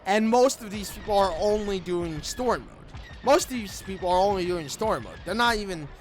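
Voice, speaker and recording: A man's voice: sits high, expressive, fairly quick, slight background noise, rough recording. A man giving casual video commentary over gameplay, rambling and often repeating his phrases. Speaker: gaming video creator. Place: room at home. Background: background music.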